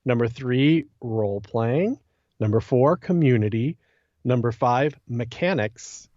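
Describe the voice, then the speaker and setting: A man talking informally on a podcast about a game, halting as he thinks out loud. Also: medium pitch, expressive, no echo, lo-fi sound. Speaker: podcast guest and co-discussant.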